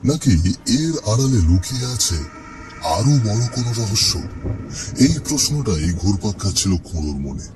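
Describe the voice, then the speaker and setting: Male storyteller for spooky cartoon: fairly low voice, suspenseful delivery, music clearly underneath. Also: big pitch swings, moderate pace. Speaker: narrator. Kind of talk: scripted horror story narration. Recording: music behind the voice.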